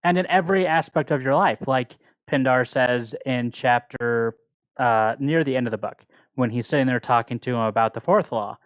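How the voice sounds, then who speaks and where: A man's voice: mid-range voice, moderate pace, no echo. A man co-hosting a book club podcast, talking casually and explaining his thoughts in a reflective way.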